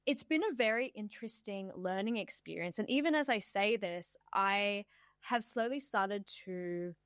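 A woman hosting a conversation, asking questions and sharing her own experience in a thoughtful, personal way. Her voice sits at a middling pitch and sounds dry and close to the mic. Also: moderate pace, a bit bright, no room sound. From India, raised in Australia. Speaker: host. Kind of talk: casual interview chat.

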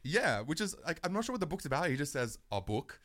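A man's voice: fairly high pitch, very animated, fast talker, a bit bright. A young man hosting a casual radio show, chatting and rambling with lots of fillers. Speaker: radio host.

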